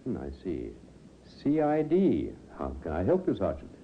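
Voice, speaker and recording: An actor playing an obliging, musing chemist with a husky voice and a hesitant, chatty manner in a 1950s radio drama. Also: male voice, low-pitched, wildly swooping pitch, moderate pace, a little hiss or hum. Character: obliging male chemist, ponderous, proud of his memory. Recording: dull vintage radio recording.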